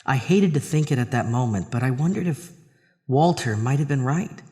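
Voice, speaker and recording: A man's voice: medium pitch, animated, normal speed, a little mellow, in a large hall, natural voice. A man acting as a troubled husband who narrates his own horror story in an audio drama. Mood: uneasy. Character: Arthur, troubled married man haunted by a drain. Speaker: narrator and lead actor.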